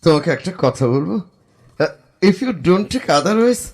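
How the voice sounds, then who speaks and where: A man's voice: high-pitched, lively intonation, vocal fry, heavily acted. A man acting a troubled, hesitant young man haunted by visions in an audio drama. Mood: uneasy, hesitant. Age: young adult. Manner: halting, uneasy, dramatic.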